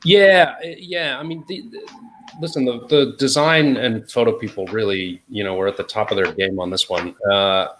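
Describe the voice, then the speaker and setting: A man's voice: fairly low pitch, normal speed, a bit bright, a little hiss or hum. A man with an American accent talking informally as an interview guest, hedging often as he explains his work as an editor. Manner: informal, hedging with "sort of" and "you know". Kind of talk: casual interview talk.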